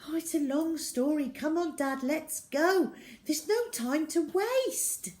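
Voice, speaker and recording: A woman's voice: high voice, takes it slowly, a little mellow, pitched above the performer's own voice. A woman voicing a brave, urgent young girl in a children's storybook, pleading and insistent.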